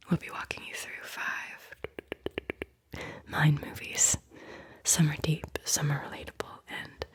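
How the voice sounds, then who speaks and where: Woman, soft airy whisper, low, playful ASMR guide. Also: breathy edge. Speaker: ASMR host.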